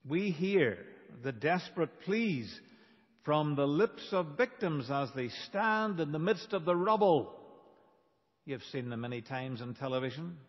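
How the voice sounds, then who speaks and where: A man preaching a sermon as a pastor, in a deliberate, emphatic manner with dramatic pauses. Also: fairly high pitch, lively intonation. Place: large reverberant church. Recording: dull, reverberant church hall recording.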